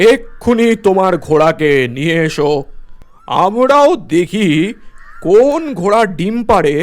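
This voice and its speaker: Stern village chief for a cartoon, played by a man: high-pitched, unhurried, indignant, lays down the law. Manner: scolding, authoritative, drawn-out emphatic delivery. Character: stern, indignant village headman passing judgement. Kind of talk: cartoon voice acting.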